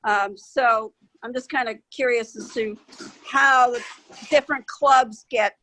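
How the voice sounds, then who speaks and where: A woman's voice: a little high, fairly even intonation, measured pace, a bit bright, low-quality recording. A woman taking part in a video call meeting, explaining her situation in unscripted talk. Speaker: meeting participant.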